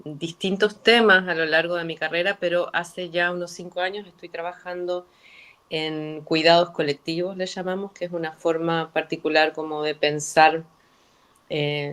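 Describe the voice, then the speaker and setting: A woman from Argentina, introducing herself as a professor on a video call with relaxed fillers. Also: deep voice, moderate pace. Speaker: public health professor.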